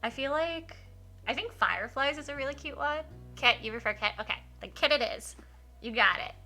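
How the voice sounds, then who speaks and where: A woman livestreaming a game, switching between informal chat with viewers and expressive read-aloud in a giggly, animated manner. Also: sits high, a little fast, faint music behind. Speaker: livestreamer hosting a visual novel playthrough.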